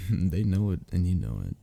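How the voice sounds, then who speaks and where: Man acting a deep, gravelly sentimental young friend. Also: big pitch swings, normal speed, mellow, full character voice. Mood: teasing, fond. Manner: intimate, conversational, with sighs and chuckles. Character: sentimental, teasing young man reminiscing with childhood best friend. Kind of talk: ASMR roleplay audio drama.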